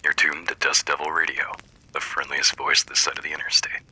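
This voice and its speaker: Guy doing a folksy wasteland radio host, super low voice, really crisp bright edge, wry and friendly. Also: flat delivery, fairly quick, exaggerated voice, rough recording. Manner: wry, welcoming, addresses listener intimately. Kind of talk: scripted radio DJ patter, audio roleplay.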